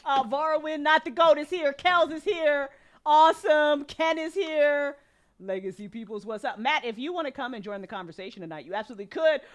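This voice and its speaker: A woman hosting a livestream chat in an animated, enthusiastic and slangy way, swearing freely. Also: high-pitched, fairly quick. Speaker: host of a livestream talk.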